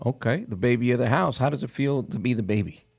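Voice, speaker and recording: Male interview host, gravelly fry in the voice, casual and jokey, conversational delivery. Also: medium pitch, quick pace, darkish tone. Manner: joking, conversational. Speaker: host of a real estate show.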